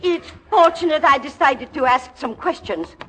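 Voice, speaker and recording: A woman's voice: sits high, expressive, moderate pace, bright, forceful tone, a little hiss or hum. An actress playing a stern, scandalized middle-aged mother, haughty and scolding, in a 1940s radio comedy. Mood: outraged. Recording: 1940s radio broadcast recording.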